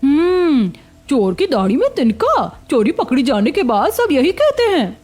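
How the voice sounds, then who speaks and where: A woman's voice: high voice, wildly swooping pitch, a little slow, darkish tone, faint music behind, heavily acted. A woman voicing a haughty, scornful lady in a cartoon story, scolding with contempt. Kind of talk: Hindi cartoon story acting.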